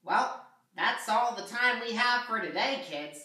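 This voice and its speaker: A woman plays a knowing male sock puppet who teaches children. He is patient and explanatory, speaking slowly and expressively. The room echoes strongly around the voice. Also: on the high side, animated, sharp tone, a little hiss or hum. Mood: presenting. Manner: explanatory, measured. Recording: very echoey room, slightly distant.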